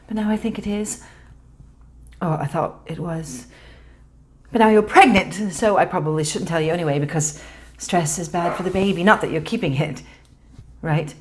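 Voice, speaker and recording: A woman's voice: on the low side, normal speed, lightly acted. A woman performing a dramatic stage monologue as a guilty young woman, blurting out a confession in an urgent, breathless run-on. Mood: nervous, blurting. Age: young adult.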